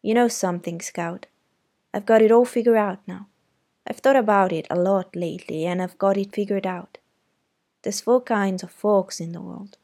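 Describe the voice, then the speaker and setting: A woman's voice: medium pitch, fairly even intonation, normal speed, darkish tone, close to an everyday voice. A woman reading aloud voices an earnest teenage boy who talks to his younger sister in an eager, confiding way.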